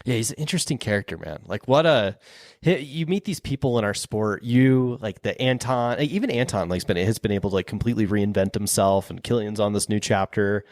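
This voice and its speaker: Chill guy hosting a podcast, talks fast, says dude a lot. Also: fairly low pitch, darkish tone, no echo. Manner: laid-back, enthusiastic, says dude and man. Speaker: podcast host. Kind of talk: casual interview chat.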